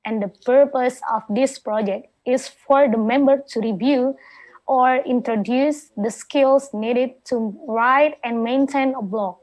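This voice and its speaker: A woman formally introduces the next speaker at an online club meeting. She speaks slowly and evenly, with a fairly high voice, over a video call. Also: darkish tone, poor audio. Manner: measured, reads out the project details. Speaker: speech evaluator.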